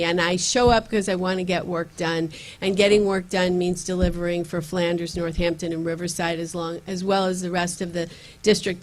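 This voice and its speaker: A county legislator, a woman, addresses a community meeting in a room and pauses often with fillers and restarts. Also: fairly low pitch. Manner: earnest, with frequent fillers and restarts. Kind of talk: campaign speech at community meeting.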